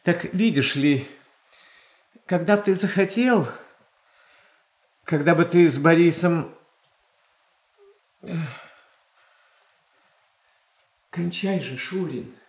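An actor playing a gentle, pious, anxious Russian tsar in a radio drama, speaking haltingly. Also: male voice, a little high, roomy sound. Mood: pleading, conciliatory. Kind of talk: classical Russian stage tragedy acting for radio.

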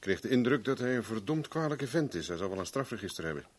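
An actor playing a terse, hard-boiled investigator in a 1970s radio drama, dry and understated in delivery. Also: male voice, fairly low pitch, animated, quick pace, husky. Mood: guarded, matter-of-fact.

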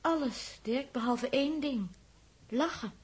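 An actress playing a teasing, playful, excitable space traveller in a 1960s Dutch radio drama, with breathy exclamations and halting repeats. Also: female voice, high voice, heavily acted. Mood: uneasy.

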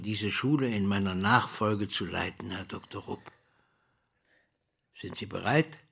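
An older male actor playing a courtly, flattering school principal in a radio drama, husky, formal and measured, with weighty pauses. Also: on the low side, exaggerated voice. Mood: urging, pleased. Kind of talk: German radio drama acting.

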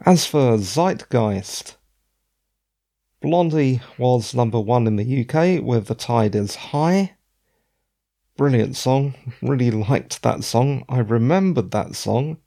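A middle-aged man hosting a podcast with a hoarse, croaky voice, chatting in a digressive, self-deprecating way. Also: medium pitch, lively intonation, slow, warm tone. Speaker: podcast host. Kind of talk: casual podcast commentary.

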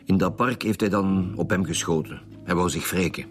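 A man acting as a calm, dogged Flemish chief inspector in a radio serial, with a very low voice. He talks in a measured, matter-of-fact way, with music clearly behind him. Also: natural voice. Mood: calm, businesslike.

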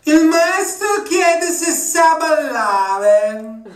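A bossy, impatient audition assistant, put on by a stage actress, barking out odd instructions. Her very high voice comes unhurried, and the stage sound is roomy and distant. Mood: brisk.

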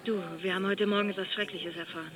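An actress playing a chatty office worker on the phone, familiar and persuasive, in radio drama dialogue. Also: female voice, mid-range voice, steady tone, fast talker, a bit bright, faint music behind, lightly acted. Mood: shocked, hushed.

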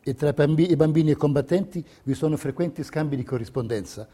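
A solemn stage narrator of wartime history. His voice is fairly high for a man yet soft-edged and mellow, with a grave theatrical delivery. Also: quick pace, breathy edge.

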